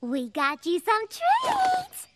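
Sweet teen jungle girl cartoon voice, super high, swoopy, cooing. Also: wildly swooping pitch, very slow, very noisy, exaggerated voice. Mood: doting. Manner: cutesy, sing-song cooing. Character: sweet, bubbly, doting teenage jungle girl. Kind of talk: cartoon voice acting.

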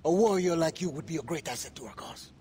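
A performer playing a resolute maroon rebel leader, earnest and given to proverbs in a game cutscene. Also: female voice, low voice, animated, fast, warm tone, long reverb tail, slight background noise. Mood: earnest, guarded. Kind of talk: video game cutscene acting.

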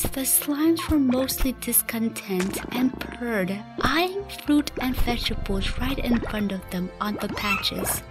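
Casting: female radio play narrator, higher-pitched, scripted storytelling over a clear music bed.

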